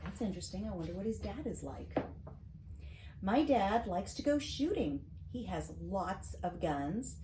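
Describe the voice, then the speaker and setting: A middle-aged American woman reading a storybook aloud to a child, with casual asides and questions, in an expressive, teacherly manner. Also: mid-range voice, unhurried. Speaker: host reading to her granddaughter. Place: home library, seated at a desk. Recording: roomy echo, clear background noise.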